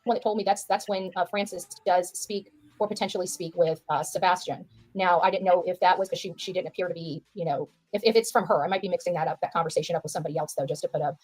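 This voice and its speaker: A woman's voice: fairly low pitch, steady tone, fast, hardly any pauses, a little mellow, breathy edge, no echo, low-quality recording. A woman giving informal livestream commentary, emphatic and repeating phrases for stress.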